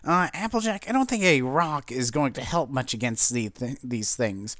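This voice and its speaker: A man's voice: medium pitch, expressive, moderate pace, a bit bright, no echo, studio quality, close to an everyday voice. A man voicing a brash, impatient female cartoon pegasus who retorts bluntly in a story reading. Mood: retorting. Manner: retorting, insistent.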